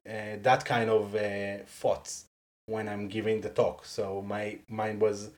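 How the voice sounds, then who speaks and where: A guest speaking English with an Israeli accent as a second language after Hebrew, chatting casually in an interview, animated and quick to laugh, with lots of "like" and restarted sentences. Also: medium pitch.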